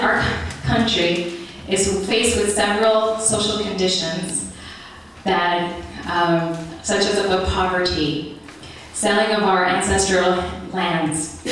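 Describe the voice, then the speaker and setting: Young woman, from Ethiopia, earnest measured speech, far from the mic, heavy hall echo. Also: fairly low pitch, fairly even intonation, fairly bright tone, slight background noise. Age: young adult. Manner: earnest, measured, reading a prepared address. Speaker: speaker at a public meeting. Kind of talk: prepared speech. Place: large meeting hall. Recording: echoey hall recorded from far off.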